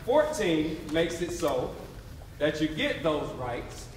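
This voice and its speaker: A man's voice: fairly high pitch, very animated, slow pace, slight background noise. Man orating in a very echoey hall. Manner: emphatic, declamatory, preacher-like call and response. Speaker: stage narrator. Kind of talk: dramatic readers theatre oration. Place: theatre stage before a responding audience. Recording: very echoey live hall, distant mic.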